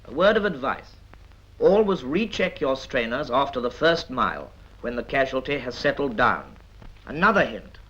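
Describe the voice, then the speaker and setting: A British man reads scripted 1950s military training film narration in a measured, instructive manner with dry asides. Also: medium pitch, big pitch swings, fairly bright tone. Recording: dull vintage 1950s film soundtrack.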